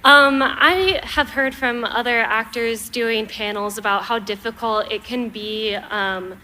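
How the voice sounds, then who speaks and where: A woman's voice: a little high, sharp tone. A woman asking a long, carefully worded question as an audience member at a live panel. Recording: noisy live room ambience.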